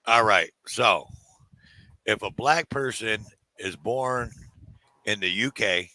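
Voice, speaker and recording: A man's voice: lowish voice, slow pace, pushed and bright, no echo, low-quality recording. A man, a guest in a casual chat, blunt and joking. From United States.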